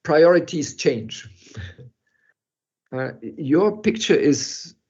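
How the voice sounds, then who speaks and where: An older man who grew up in Europe, speaking as a guest in an online talk and telling a personal story in a halting, reflective way with soft laughs. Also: a little high, animated, breathy edge. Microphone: video call. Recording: muffled video call audio.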